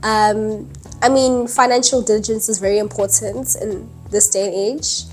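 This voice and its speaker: A young woman in her early twenties chats straight to camera in a relaxed vlog style. She fills her sentences with "um" and "like" and laughs lightly now and then. Faint music plays quietly behind her voice. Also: mid-range voice, moderate pace. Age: early 20s. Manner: chatty, many fillers like "um" and "like", laughs lightly. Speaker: vlogger. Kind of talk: casual talking-head vlog. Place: indoors at night, dim lighting.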